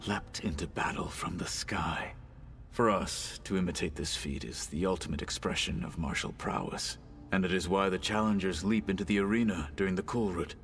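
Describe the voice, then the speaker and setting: Deep gravelly stoic warrior. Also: male voice, a bit bright. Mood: reverent. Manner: formal, measured, solemn speech. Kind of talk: dramatic video game acting.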